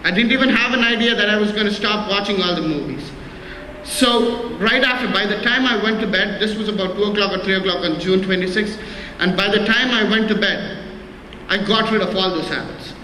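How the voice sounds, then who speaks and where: A man's voice: high voice, bright, forceful tone. A man giving his church testimony, earnest and plain, stumbling and repeating words as he goes. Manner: halting, many restarts and repeated words. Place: church hall. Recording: echoey hall with faint noise.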